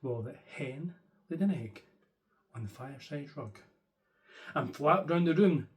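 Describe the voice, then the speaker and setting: Slow, high-voiced male bedtime story reader. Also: animated. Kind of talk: expressive bedtime storybook narration.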